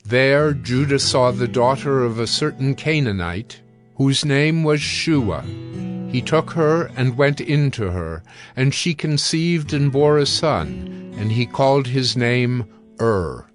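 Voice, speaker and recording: A man narrates scripture in a scripted audio drama style. His voice sits at a medium male pitch, with soft music underneath. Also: animated, takes it slowly.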